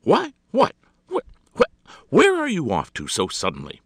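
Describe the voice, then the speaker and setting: A man's voice: a little high, wildly swooping pitch, a little slow, vocal fry, fairly bright tone, slightly rough, no echo, studio quality, voice pushed up, full character voice. A man voicing an imperious, histrionic society hostess in a story, exclaiming and scolding impatiently. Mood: shocked, scolding.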